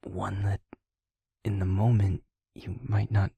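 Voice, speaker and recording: Gentle male vampire, played by a man, low and slow, soothing a partner in an intimate audio roleplay. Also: little pitch movement, dry recording, heavily acted. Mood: quiet, explanatory. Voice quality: breathy, husky, near-whispering. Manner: halting, deliberate, reassuring.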